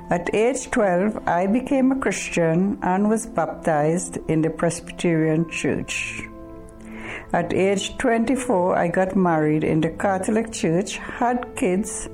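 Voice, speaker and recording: Slow-spoken woman in her sixties. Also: fairly low pitch, mellow. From Trinidad. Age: 60s. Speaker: church member giving testimony. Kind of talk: personal faith testimony.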